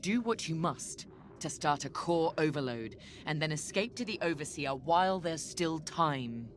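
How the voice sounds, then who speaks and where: Low-voiced stern Imperial officer. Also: female voice, animated, measured pace, a little hiss or hum. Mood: commanding. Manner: cold, commanding. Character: stern woman Imperial officer giving mission orders. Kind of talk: cinematic video game briefing.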